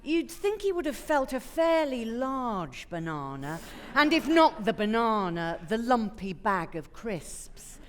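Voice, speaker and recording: A woman's voice: fairly high pitch, sing-song, takes it slowly, hardly any pauses, a little hiss or hum. A woman actor reciting a comic poem on a theatre stage. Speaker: actor reading poetry. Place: theatre stage before an audience.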